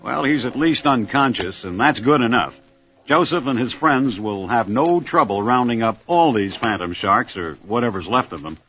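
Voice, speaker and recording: Children's adventure record: man as stern grandpa skipper, mid-pitched, faint music behind. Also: animated, normal speed, fairly bright tone, husky, lo-fi sound. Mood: proud, stern. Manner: firm, authoritative. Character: gruff, commanding grandfather boat captain. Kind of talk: vintage children's adventure drama.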